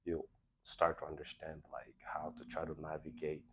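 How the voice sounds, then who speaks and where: A young man from Belgium, a guest on a podcast, telling stories in a relaxed, animated way and acting out the conversations he quotes. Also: extremely low pitch, normal speed, breathy edge. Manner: animated, acts out quoted exchanges. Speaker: podcast guest, nightlife VIP host. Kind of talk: casual interview storytelling.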